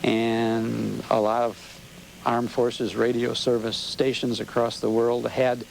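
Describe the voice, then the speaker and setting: Gravelly American man telling radio-style stories. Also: mid-range voice, level tone, takes it slowly. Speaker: interview guest, old-time radio show host and collector. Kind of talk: conversational storytelling in a TV interview. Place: TV studio interview. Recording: noisy 1990s local TV broadcast.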